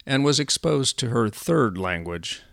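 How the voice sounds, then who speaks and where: An older man, the host of a podcast, narrating in a measured, earnest scripted way. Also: mid-range voice, expressive, vocal fry, a bit bright, no room sound, studio quality.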